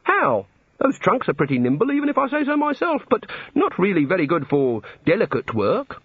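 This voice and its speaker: A man plays a vague, fussy god in a storybook, high and swooping in pitch. He rambles and dithers like a distracted, bewildered inventor. The recording is a little dull. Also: wildly swooping pitch, normal speed, husky, no room sound, heavily acted. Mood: puzzled. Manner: dithering, absent-minded, rambling.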